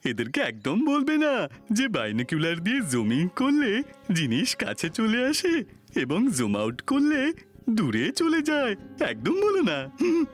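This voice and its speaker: A man's voice: sits high, huge pitch swings, normal speed. A man voicing a dim-witted, blabbering cartoon henchman who speaks in a foolishly earnest, sing-song way. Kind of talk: comic cartoon dub acting. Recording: light music behind the voice.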